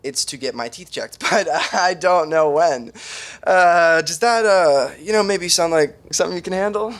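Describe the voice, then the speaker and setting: An actor playing a nervous, awkward young sitcom roommate who rambles, stammers and apologizes. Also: male voice, on the high side, normal speed, fairly bright tone. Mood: flustered, anxious. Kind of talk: sitcom acting.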